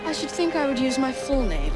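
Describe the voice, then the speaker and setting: An earnest, precocious small boy from Victorian times, speaks precisely in a high voice. Music plays clearly behind the game dialogue. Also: very animated, brisk. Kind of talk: video game cutscene acting.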